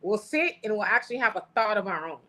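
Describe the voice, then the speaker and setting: A woman's voice: medium pitch, expressive, quick pace, sharp tone. A woman with an American accent talking casually and colloquially as an opinionated talk show host. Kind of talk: casual political chat, reading viewer comments.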